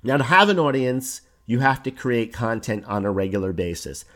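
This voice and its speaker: A man talking into a podcast mic with a hoarse voice. He explains his points in an easy, conversational way and leans on simple examples. His pitch sits in the middle of the usual range for a man. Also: lively intonation, normal speed, a bit bright. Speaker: podcast host. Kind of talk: solo podcast talk on online business.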